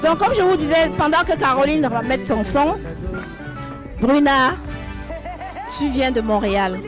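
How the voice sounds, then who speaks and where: Female event host, high-pitched voice, casual live introductions, music clearly playing behind. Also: unhurried.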